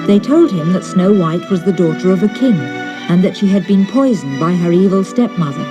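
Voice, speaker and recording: A woman narrating a fairy tale as an audiobook reader in an expressive storytelling style. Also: lowish voice, normal speed, music in the background.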